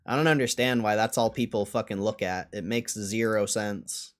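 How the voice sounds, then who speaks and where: A man's voice: lowish voice, fairly even intonation, normal speed. A man hosting a livestream in a casual, blunt and dismissive way, swearing now and then as he answers chat. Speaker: livestream host.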